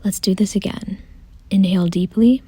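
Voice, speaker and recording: A woman softly guiding a meditation in a gentle, calm, unhurried way, often close to a whisper. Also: medium pitch, no room sound. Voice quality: soft, breathy, often near a whisper. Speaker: meditation guide. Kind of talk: soothing guided meditation, scripted.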